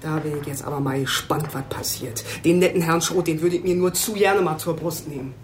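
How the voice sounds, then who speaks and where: A woman playing a feisty small-town policewoman in a radio drama, brisk, sardonic and quick to take offence. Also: sits low, noisy background, lightly acted. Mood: indignant. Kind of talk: German radio crime drama acting.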